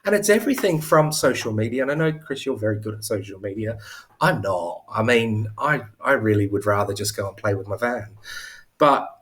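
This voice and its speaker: A man's voice: on the low side, expressive, fairly quick, fairly bright tone. Chatty man on a video call. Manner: chatty, self-deprecating, many restarts. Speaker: hair coach and salon owner. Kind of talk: casual live interview chat. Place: at home.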